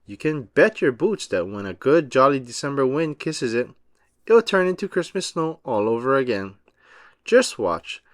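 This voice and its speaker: A man reading a children's storybook, voicing a kind old Santa Claus in a gentle, comforting manner. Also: medium pitch, very animated, normal speed, no echo, studio quality, lightly acted. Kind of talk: children's storybook read-aloud.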